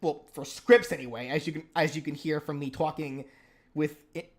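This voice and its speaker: A man's voice: on the high side, fairly even intonation, moderate pace. A man hosting a casual live stream, talking with a stutter, repeating words and restarting his sentences. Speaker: stream host and moveset creator.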